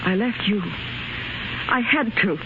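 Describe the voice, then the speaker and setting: A woman's voice: mid-range voice, slow. An actress playing a desperate estranged wife and mother, impassioned and pleading, in 1930s radio drama style. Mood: bitter reproach. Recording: noisy muffled 1930s radio recording.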